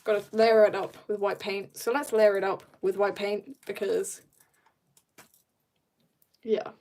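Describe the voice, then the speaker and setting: A woman's voice: mid-range voice, little pitch movement, measured pace, a little mellow. A young woman chatting casually on an art livestream, rambling and slangy as she jumps between asides in a giggly way. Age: teenager or young adult. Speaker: streamer drawing and chatting.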